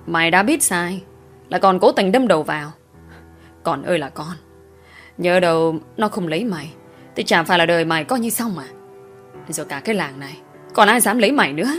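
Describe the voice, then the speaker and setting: A woman's voice: lowish voice, lively intonation, normal speed, long pauses, soft background music, natural voice. A woman voicing a furious, strict middle-aged village father who scolds and growls at his daughter. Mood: furious scolding.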